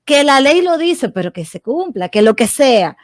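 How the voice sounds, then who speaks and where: A woman's voice: high voice, sing-song, normal speed, no echo, studio quality. A woman with a Dominican accent, a guest on a radio talk show who answers with frequent short affirmations. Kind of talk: radio interview.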